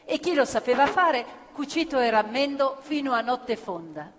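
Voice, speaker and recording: A woman's voice: high voice, sing-song, normal speed. Earnest woman narrating on stage. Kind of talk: theatrical storytelling. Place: theatre stage. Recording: live theatre with long reverb. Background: live violin and piano.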